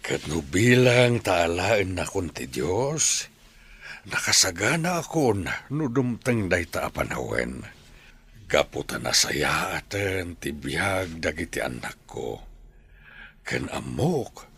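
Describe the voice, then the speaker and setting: An actor with an Ilocano accent playing a devout elderly father in a radio drama, earnest and husky. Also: sits low, very animated, slow pace, fairly bright tone, exaggerated voice. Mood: solemn, grateful. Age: older. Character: devout elderly father wishing his grown sons well.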